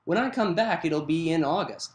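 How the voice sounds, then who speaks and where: A narrator reading fan fiction aloud in an animated storytelling style. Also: mid-range voice, moderate pace, breathy edge.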